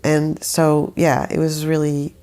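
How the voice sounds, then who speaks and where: A woman's voice: deep voice, monotone, takes it slowly, dark tone. A young woman who grew up in Saudi Arabia, chatting casually as an interview guest, rambling with many fillers and restarts. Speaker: guest, musician.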